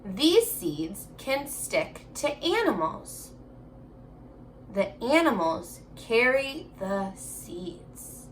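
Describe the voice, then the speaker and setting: A woman teaches young children in a high, very lively voice. She takes her time, reading and prompting with encouraging questions as if each pupil were right there. A little background noise sits behind her. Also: extremely slow, poor audio. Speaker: elementary school teacher. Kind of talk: children's remote reading lesson, alternating slow read-aloud and chatty prompts.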